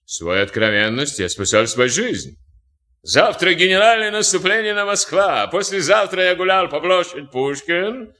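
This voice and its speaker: An actor playing an arrogant captured German SS officer, speaking broken Russian with a German accent. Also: male voice, fairly high pitch, pushed and bright, exaggerated voice. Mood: gloating. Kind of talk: war film acting.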